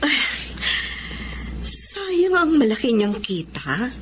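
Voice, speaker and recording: An actress with a Filipino accent playing an expressive, worldly female boss of a shady business in a radio drama. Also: sits high, takes it slowly, exaggerated voice. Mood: curious, regretful. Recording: clear background noise.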